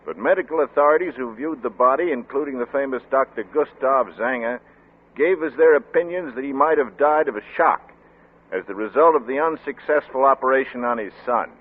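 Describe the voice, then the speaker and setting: A man's voice: medium pitch, slightly rough, close to an everyday voice. Tinny old-radio newsman played by a man. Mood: sober, factual. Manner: measured, formal, matter-of-fact. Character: sober male news reporter reading a grim bulletin. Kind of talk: 1940s radio drama news report. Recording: tinny narrow-band old radio recording.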